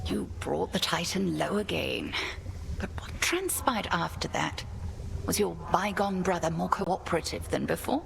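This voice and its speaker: A stern gravel-voiced witch headmistress. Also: on the low side, expressive, a little slow, noisy background, exaggerated voice. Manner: stern, clipped, commanding. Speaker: headmistress and mentor. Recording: game dialogue with music behind.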